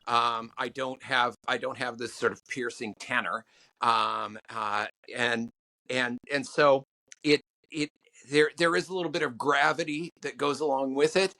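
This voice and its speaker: A man being interviewed as a songwriting guest, talking in halting stops and restarts with many fillers. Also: fairly even intonation, sharp tone. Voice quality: low voice. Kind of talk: podcast interview answers.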